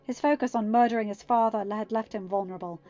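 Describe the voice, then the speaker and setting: A woman's voice: a little high, fairly even intonation, fast talker, dark tone. A woman reading scripted fan fiction narration as an audiobook reader.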